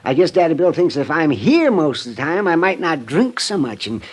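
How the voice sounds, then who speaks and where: A merry, indestructible old drunkard in 1950s radio drama style. The voice is husky and rather high, leaping up and down as he rambles folksily and shrugs off trouble. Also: big pitch swings, moderate pace. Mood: gleeful, coaxing. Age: older. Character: cheerful, chuckling, stubbornly indestructible old male drunkard.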